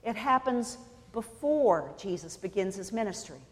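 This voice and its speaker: A woman from California preaching a sermon in a measured, deliberate way, pausing for emphasis. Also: mid-range voice, expressive, in a large hall. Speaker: pastor.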